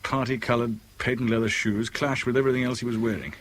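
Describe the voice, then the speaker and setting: An actor playing a big, sardonic, hot-tempered thriller hero in a 1970s radio drama, with a vivid, expressive delivery. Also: male voice, mid-range voice, quick pace, natural voice. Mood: dry, sardonic. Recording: old radio drama recording, faint noise.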